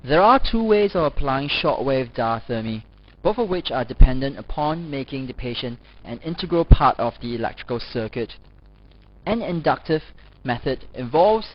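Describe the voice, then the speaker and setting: A man reading scripted educational narration as a lecturer, in a formal, measured manner. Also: medium pitch. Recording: dull, lo-fi voice-over recording.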